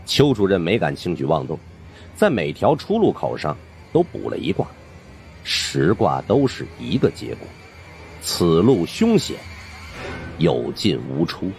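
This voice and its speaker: A man's voice: sits low, wildly swooping pitch, a little slow, gravelly, a little mellow, soft background music. A man narrating a supernatural suspense audiobook in a theatrical, suspenseful way. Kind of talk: dramatic scripted storytelling of a supernatural suspense novel.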